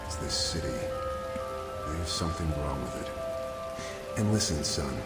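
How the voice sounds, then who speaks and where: Weary, compassionate game policeman, very low register, reverberant, rainy recording. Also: expressive, measured pace. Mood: sympathetic. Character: kindly, weary male city police officer. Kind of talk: video game cinematic acting. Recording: echoey, rain and loud music behind.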